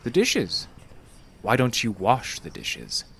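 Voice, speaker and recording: An actor in an audio drama playing a composed, probing male psychotherapist with a measured, dry, clinical manner. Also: medium pitch, wildly swooping pitch, creaky, fairly bright tone, breathy edge, exaggerated voice. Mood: needling, controlled.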